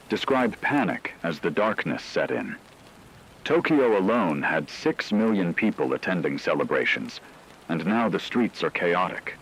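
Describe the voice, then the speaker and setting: Man acting as deep-voiced, grave radio news anchor. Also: slightly rough, heavily acted, poor audio. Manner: solemn, ominous, measured. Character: grave male radio news anchor reporting a werewolf apocalypse. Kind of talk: scripted dramatic news narration.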